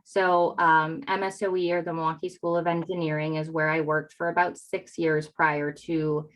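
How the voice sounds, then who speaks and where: A woman's voice: low-pitched, flat delivery, normal speed, rough recording. A woman with a Yooper northern Wisconsin accent giving conversational answers as an interview guest on a video call. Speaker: interview guest, real estate agent.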